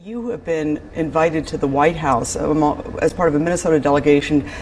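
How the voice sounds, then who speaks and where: A man's voice: a little high, a little fast, a little mellow, a little hiss or hum. A man hosting a broadcast interview as the interviewer.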